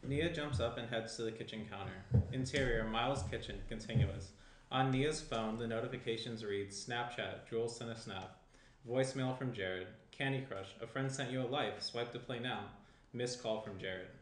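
A man's voice: mid-range voice, normal speed. A man in his thirties reading stage directions as scripted narration at a table read. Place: small indoor room at a table. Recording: roomy, faint background noise.

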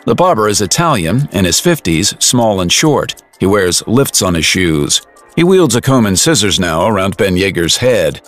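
A man narrates an audio drama in a lowish voice. His scripted storytelling is vivid and animated, with music clearly playing behind him. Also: moderate pace.

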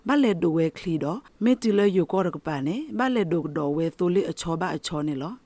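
A woman's voice: fairly low pitch, lively intonation, a little fast, mellow, dry recording. A woman radio news presenter reading a scripted bulletin.

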